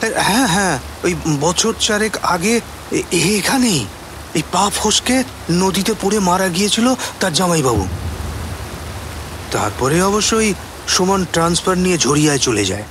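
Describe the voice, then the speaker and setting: An actor playing an anxious, puzzled man who speaks haltingly with stammers in an audio drama. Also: sits high, expressive. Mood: puzzled, hesitant. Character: anxious, puzzled colleague named Sumon, startled and halting. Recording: noisy rain and water ambience. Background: rain or rushing water.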